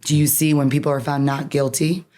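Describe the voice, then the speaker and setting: A woman's voice: deep voice, flat delivery, brisk, a little mellow. A woman attorney who grew up in Canada with Farsi as her first language, speaking earnestly and emphatically as a podcast guest. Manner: earnest, emphatic, often ends points with "right?". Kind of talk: podcast interview, personal reflection.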